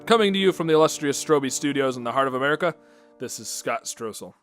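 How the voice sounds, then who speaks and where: A man's voice: mid-range voice, expressive, quick pace, a bit bright, faint music behind. A man hosting a scripted radio drama, presenting and asking questions in a polished, theatrical way. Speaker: announcer and host of the show.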